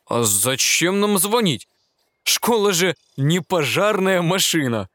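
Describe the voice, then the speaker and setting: A man's voice: high voice, big pitch swings, slow pace, a bit bright, no echo, exaggerated voice. A man voicing a cautious male mole, a school watchman in a children's storybook, who asks worried, hesitant questions. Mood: puzzled. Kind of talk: storybook character voice.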